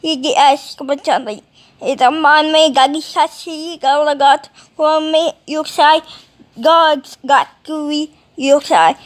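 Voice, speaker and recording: A woman talking casually in a halting, rambling way, with mumbled phrases, as in an improvised home video. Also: high voice.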